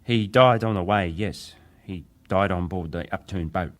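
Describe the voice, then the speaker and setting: A deep-voiced young ship's wireless operator testifies at a dramatized hearing. He answers with measured deference, sometimes hesitating, as a survivor choosing his words. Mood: grave, restrained.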